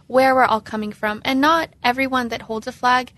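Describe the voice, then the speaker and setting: A woman's voice: high-pitched, fairly even intonation, normal speed, fairly bright tone, slight background noise. A young woman from Denver, a college student club co-president, answering questions casually with fillers on a radio show. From Denver, Colorado. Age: young adult. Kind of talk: radio interview guest.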